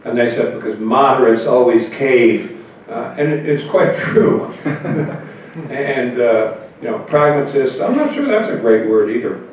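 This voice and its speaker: A man's voice: medium pitch, lively intonation, a little slow, a little hiss or hum. Older man, wry storyteller in an echoey room. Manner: wry and anecdotal, chuckles at his own jokes. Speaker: interview guest, politician. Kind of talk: relaxed conversational storytelling. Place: hotel meeting room at a convention. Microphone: table mic on a stand, heard from far. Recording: echoey room, far, phone-like thin sound.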